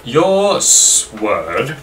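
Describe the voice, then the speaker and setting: Sweary guy gaming, medium pitch, blunt casual talk, far from mic w clear noise behind. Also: sing-song, very slow, fairly bright tone. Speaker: video game player. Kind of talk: casual gameplay chat.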